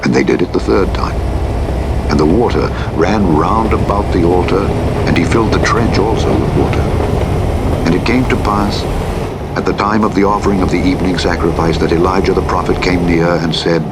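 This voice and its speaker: Deep-voiced man solemnly reading scripture over engine noise. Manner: measured, solemn, declamatory. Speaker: audiobook narrator. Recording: clear vehicle noise over a radio playback. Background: truck engine and driving noise from a simulator game.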